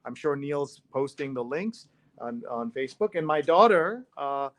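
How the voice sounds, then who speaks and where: A man's voice: mid-range voice, unhurried, no echo. Dry-sounding middle-aged man hosting casual chat. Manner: conversational, interjecting questions and asides. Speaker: host of a live read-along show. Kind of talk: casual on-air chat about newspaper stories.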